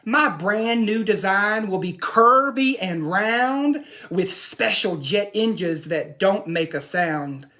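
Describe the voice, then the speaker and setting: Imaginative boastful boy for children's storybook, performed by a woman, emphatic showman delivery, unhurried. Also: mid-range voice, fairly bright tone. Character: imaginative boy proudly showing off his dream car. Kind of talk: expressive storybook read-aloud.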